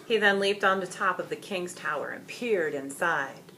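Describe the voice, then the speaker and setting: A woman reading a children's story aloud in dramatic, expressive, deliberate narration. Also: lowish voice, crowd noise.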